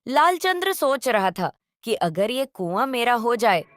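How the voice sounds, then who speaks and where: A woman's voice: fairly high pitch, very animated, a little slow, no echo, studio quality. A woman telling a story as narrator, reading scripted narration. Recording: faint background noise.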